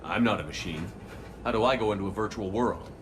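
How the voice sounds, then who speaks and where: An actor playing a steady, resolute human military commander in measured video game dialogue. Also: male voice, fairly low pitch, background noise, close to an everyday voice. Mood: questioning, determined.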